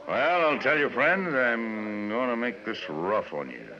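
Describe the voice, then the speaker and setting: A man's voice: mid-range voice, animated, a little slow. An easygoing frontier gunslinger with a folksy Western American drawl. He is cocky and genial at the card table. The recording is muffled 1950s radio with saloon chatter behind. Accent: American West. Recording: dull vintage radio, background chatter.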